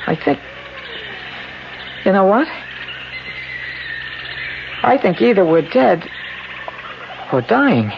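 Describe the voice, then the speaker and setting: An actor playing a nervous, grumbling man stranded near death in a 1970s radio drama, stammering and hesitant, with a creaky voice. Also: sits high, big pitch swings, drawn-out words, pauses often, exaggerated voice. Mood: uneasy. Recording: noisy, dull 1970s radio broadcast.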